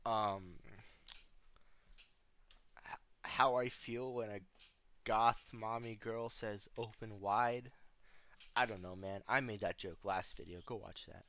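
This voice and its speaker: A man's voice: lowish voice, steady tone, slow pace, pauses often, a bit bright. A man giving casual, joking video commentary in a sarcastic, flippant, slangy way, full of offhand asides. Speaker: video host reacting to analog horror.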